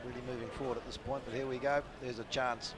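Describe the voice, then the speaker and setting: A man with a New Zealand accent calls a rugby match live, upbeat and quick with the play-by-play. His voice sits at a middling pitch. Clear outdoor stadium noise and passing traffic run behind him. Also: level tone. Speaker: rugby commentator. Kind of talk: live sports commentary. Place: outdoor stadium commentary position.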